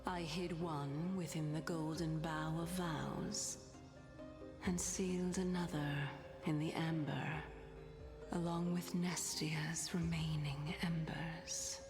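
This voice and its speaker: A woman voicing a calm, gracious ancient goddess in human disguise, speaking softly in a breathy half-whisper. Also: low voice, slow pace, long reverb tail. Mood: calm, knowing. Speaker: game character. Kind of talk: video game voice acting. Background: game music.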